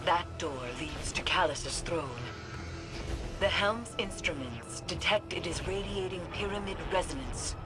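A woman's voice: fairly low pitch, lively intonation, unhurried. An actress playing a grave, cryptic witch in a video game, speaking formally. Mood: grave, wary. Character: solemn, ominous witch guiding a soldier through haunted ship. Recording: loud game music and effects over voice.

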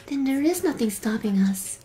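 A woman voicing a tiny, caring, wise female sprite in an audio drama, speaking gently and carefully. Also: high-pitched, expressive, moderate pace. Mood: solemn. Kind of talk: fan audio drama acting. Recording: music under the voice.

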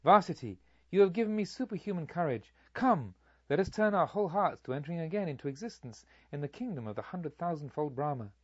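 A man's voice: a little high, animated, a little fast, husky, no echo, muffled recording. A man voicing a fervent, hopeful male spirit in an audiobook, with a declamatory manner. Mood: inspired. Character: weary male spirit revived into fervent hope.

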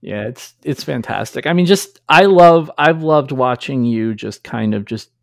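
A man's voice: mid-range voice, animated, a little slow, gravelly, dry recording. A man in his thirties with a New York accent, hosting a casual podcast interview from a small home studio on a large studio mic, chatty and enthusiastic. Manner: enthusiastic, chatty, laughs often. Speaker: podcast host. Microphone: large studio mic with headphones.

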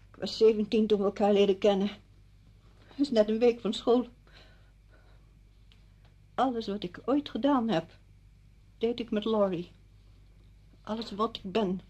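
An actress playing a bitter, stubborn, grieving widow in a radio play, brooding and cutting. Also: female voice, mid-range voice, measured pace, pauses often, warm tone, slightly rough, no echo. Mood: wistful. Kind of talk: Dutch radio play acting.

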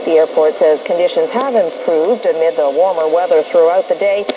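A woman's voice: fairly low pitch, a little fast. A woman news presenter reading a scripted report. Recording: lo-fi noisy radio reception.

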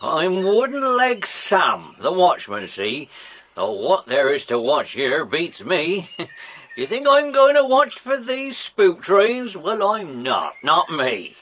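A man's voice: fairly high pitch, wildly swooping pitch, slow pace, bright, forceful tone, slight background noise, exaggerated voice. An actor playing a cranky, spooked old railway watchman with a muttering, erratic manner in a children's audio drama. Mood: grumbling, agitated. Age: older.

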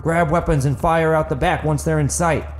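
A man's voice: on the high side, fairly even intonation, normal speed, soft background music, pitched above the performer's own voice, close to an everyday voice. A man voicing a commanding, protective wagon driver in an audiobook, with an urgent manner. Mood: urgent shouting.

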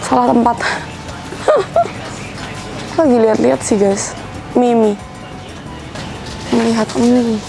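A woman's voice: a little high, fairly even intonation, slow pace, vocal fry, long pauses, over music, poor audio. A young woman vlogging casually as she browses a big supermarket, thinking aloud about what to buy. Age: young adult. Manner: chatty, thinking aloud while browsing shelves. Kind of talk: casual shopping vlog chat. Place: large supermarket indoors.

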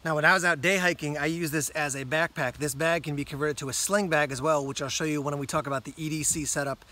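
A man giving a casual hands-on gear walkthrough outdoors, pausing with ums and correcting himself as he talks. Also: fairly high pitch, fairly even intonation, sharp tone. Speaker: gear reviewer. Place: outdoors on a rock among plants.

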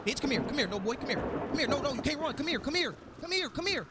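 Guy in game chat, super high voice, rattling off frantic commands fast. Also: big pitch swings, heavy background noise. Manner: frantic, repeats short commands. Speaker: player in a street roleplay game. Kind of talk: excited in-game roleplay chatter.

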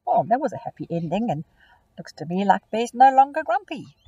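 A middle-aged woman teacher reading a picture book aloud to children in an expressive storytelling manner, recorded outdoors on a phone camera held close. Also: on the high side, brisk, husky, no room sound, dull audio. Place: outdoors in a grassy field.

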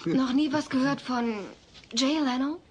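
A woman's voice: sits high, fairly even intonation, a little slow. A young woman playing a polite, coaxing teenage daughter in a sitcom audio play. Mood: casual. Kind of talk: German-dubbed sitcom audio play acting. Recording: noisy old cassette audio play.

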